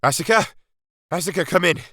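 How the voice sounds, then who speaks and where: A man's voice: sits high, a little fast, fairly bright tone, no room sound, studio quality, voice pushed up. A man playing an anxious, urgent time-travelling alien hero, speaking emphatically. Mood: desperate.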